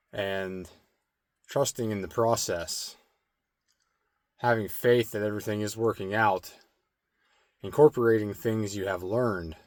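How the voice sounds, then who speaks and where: A man giving a slow, meditative spirit card reading with a strained, slightly hoarse throat and many filler words. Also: on the low side. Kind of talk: intuitive oracle card reading.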